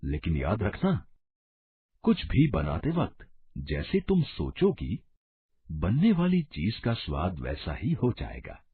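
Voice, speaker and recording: A man voicing a kindly, wise old holy man in a cartoon, speaking in a measured, instructive way. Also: low-pitched, wildly swooping pitch, husky, dry recording, full character voice. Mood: kindly, solemn. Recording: dull lo-fi audio.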